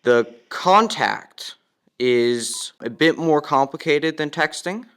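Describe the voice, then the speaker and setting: A man's voice: fairly high pitch, expressive, takes it slowly, a bit bright, smooth. A man giving a casual step-by-step product demonstration in a plain, instructional manner. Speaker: product demonstrator, ham radio operator.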